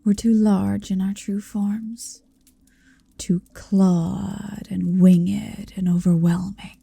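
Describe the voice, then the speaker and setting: A woman playing a possessive, centuries-old dragon in human form, tender yet commanding, in a soft, hushed ASMR roleplay that often slips into whispers. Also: medium pitch, flat delivery, no room sound, full character voice. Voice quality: soft, breathy. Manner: slow, deliberate, hushed, often slipping into whisper. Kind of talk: ASMR roleplay, intimate second-person monologue.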